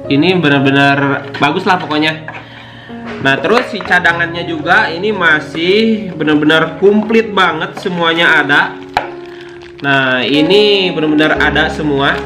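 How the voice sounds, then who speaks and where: A man's voice: a little high, unhurried. A young man casually chatting to camera about his motorbike vlogging gear, full of slangy fillers. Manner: slangy, keeps saying "cuy", many fillers. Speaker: motovlogger YouTuber. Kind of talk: casual unboxing chat to camera. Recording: echoey room with guitar music.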